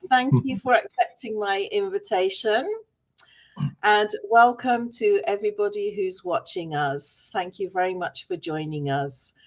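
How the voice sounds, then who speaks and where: A woman's voice: medium pitch, a little slow, rough recording. A woman hosting a live-stream interview over a video call, effusive and welcoming with her guests. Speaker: interview host.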